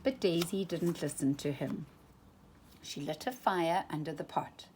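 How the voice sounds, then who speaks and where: A woman reading a children's storybook aloud, slow and expressive. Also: low-pitched, a little hiss or hum. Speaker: storytime reader.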